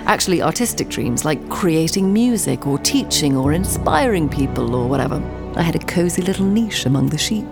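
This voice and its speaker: An actress playing a proud, sardonic, cultured nineteenth-century woman music teacher in an audio drama, with a haughty, dramatic, sharp-tongued manner. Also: low-pitched, animated, brisk, vocal fry, few pauses. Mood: wry, confiding. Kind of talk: audio drama acting with confessional narration.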